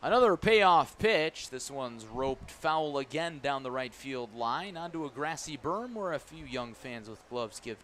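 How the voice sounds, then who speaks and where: A man calling a baseball game live on radio as the play-by-play announcer, describing each pitch in detail. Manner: descriptive, excited calls rising on big plays. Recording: clear background noise at ballpark. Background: ballpark ambience.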